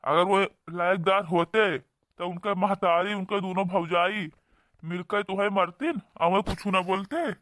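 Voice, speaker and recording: A man's voice: high voice, a little slow, a bit bright, dry recording, studio quality. A man voicing a protective, indignant younger brother from a poor village in a cartoon, insistent, with an Awadhi accent. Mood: indignant, urging. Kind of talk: cartoon dialogue.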